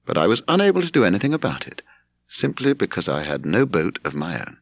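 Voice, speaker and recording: A low-voiced British man narrates a literary memoir with a gravelly edge. His delivery is measured and expressive, with pitch that moves freely as he tells the story. The recording is close and dry. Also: no room sound, dull audio. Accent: British English. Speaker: audiobook narrator.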